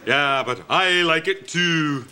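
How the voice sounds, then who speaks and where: Slow, hesitant, high-voiced customer. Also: male voice, bright, forceful tone, a little hiss or hum, exaggerated voice. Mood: amiable. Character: naive, hesitant male kilt-shop customer. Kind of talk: sketch comedy acting.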